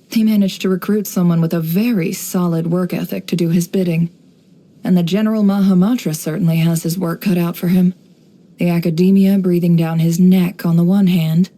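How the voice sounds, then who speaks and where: An actress playing a shrewd, worldly woman who runs an intelligence business in a video game, speaking in a composed, wry, measured way. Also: lowish voice, warm tone, lightly acted, low-quality recording. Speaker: video game character voice actor. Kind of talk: scripted game character voice lines, monologue.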